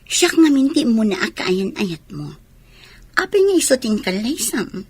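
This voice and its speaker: Woman acting high, husky, possessive scheming mistress. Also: big pitch swings, measured pace, a little mellow, no echo, full character voice. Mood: teasing. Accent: Ilocano Filipino. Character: scheming, possessive former girlfriend, sulky and demanding. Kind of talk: Filipino radio comedy-drama acting.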